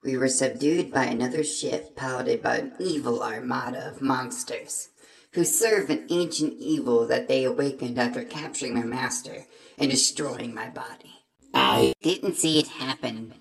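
A man's voice: mid-range voice, gravelly, a bit bright, voice pushed up. A man voicing a damaged sentient robot from another planet, an earnest and hopeful helper in an audio drama.

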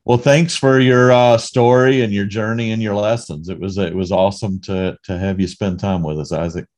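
Deep-voiced man hosting. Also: fairly even intonation, moderate pace, darkish tone. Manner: friendly, upbeat wrap-up. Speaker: host. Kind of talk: hosting a video call.

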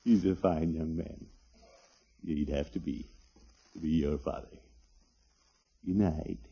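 An actor playing a blustery but soft-hearted middle-aged family man in a 1950s radio sitcom, muttering and blustering. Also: very deep voice, fairly even intonation, takes it slowly, breathy edge, full character voice. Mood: tender. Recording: muffled old 1950s radio recording.

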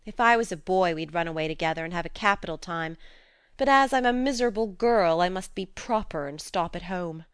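Spirited, sensible teenage girl, played by a female narrator; storybook dialogue, brisk, frank, smooth tone. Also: on the low side, no room sound, studio quality, natural voice. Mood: wistful. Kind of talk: audiobook character reading.

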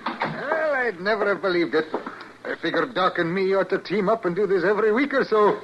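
High-voiced sly storekeeper. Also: male voice, animated, a little fast. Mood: sly, pleased. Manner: folksy, wry, chatty. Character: sly, gleeful frontier storekeeper. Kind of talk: old-time radio western acting. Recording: muffled, hissy old radio broadcast.

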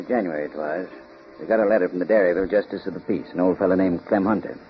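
A man's voice: lowish voice, natural voice. A man playing a plain-spoken older American police inspector, narrating in a 1930s radio drama. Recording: muffled, noisy 1930s radio recording.

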